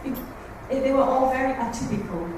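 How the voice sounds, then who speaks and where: A woman with a Brazilian accent addresses a room of families, earnest and a little nervous. Her voice sits a touch high. She is picked up from far across a very echoey room with steady background noise. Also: brisk. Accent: Brazilian Portuguese. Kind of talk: talk to a support group. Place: meeting room, recorded from far off.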